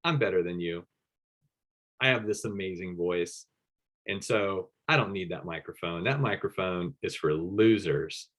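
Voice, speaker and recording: A man doing a smug, lower-voiced arrogant networker. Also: unhurried, a bit bright. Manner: smug, self-important. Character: arrogant male networker who thinks he's better.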